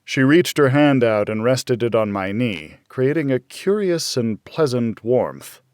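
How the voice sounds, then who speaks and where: Expressive male audiobook narrator, unhurried and dry. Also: medium pitch, clean tone, dry recording. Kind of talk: scripted first-person thriller narration.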